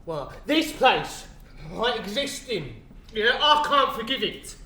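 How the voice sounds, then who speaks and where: A man's voice: high-pitched, sing-song, slow, pushed and bright, exaggerated voice. A man acting a defiant, cheeky young newcomer in an afterlife stage play, blunt and exasperated. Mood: bitter. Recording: echoey stage, distant mic, clear noise.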